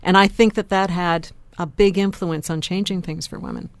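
A woman with a lower voice than average, speaking emphatically and articulately as a panelist on a radio talk show. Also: fairly even intonation, moderate pace, creaky, no room sound. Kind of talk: opinionated panel discussion.

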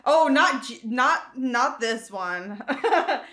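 Young female podcast co-host, higher-pitched voice, loose rambling slang, sweary comedic chat. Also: expressive, slow, fairly bright tone. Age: young adult. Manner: rambling, slangy, heavy use of like, frequent swearing.

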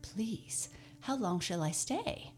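A woman's voice: low voice, expressive, moderate pace, vocal fry, soft background music. Woman voicing a timid, tearful scarecrow boy. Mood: anxious. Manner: tearful, anxious pleading. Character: forlorn young male scarecrow, timid and eager.

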